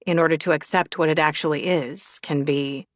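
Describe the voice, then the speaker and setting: A patient, gently wry man, voiced by a female audiobook reader, speaks in a low register with a calm, reassuring manner. The sound is dry and close, suited to romance fiction. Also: normal speed, dry recording, natural voice. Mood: sympathetic, earnest. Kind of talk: audiobook character dialogue.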